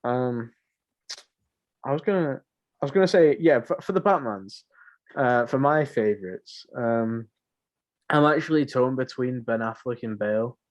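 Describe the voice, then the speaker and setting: A man's voice: medium pitch, a little slow, long pauses. A young man co-hosting a podcast in casual, rambling, informal chat. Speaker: podcast co-host. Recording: muffled lo-fi home recording.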